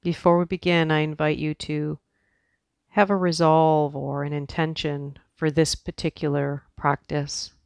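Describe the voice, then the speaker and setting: Slow, even-toned woman guiding meditation. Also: deep voice, gravelly, no room sound. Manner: soft, soothing, unhurried instruction with long pauses. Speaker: yoga and meditation teacher. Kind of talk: guided meditation.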